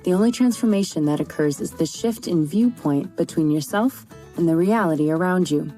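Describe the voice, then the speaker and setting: Warm-toned woman reading host intro. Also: on the low side, moderate pace, faint music behind. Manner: even, read-aloud delivery. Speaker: podcast host. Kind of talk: scripted introduction.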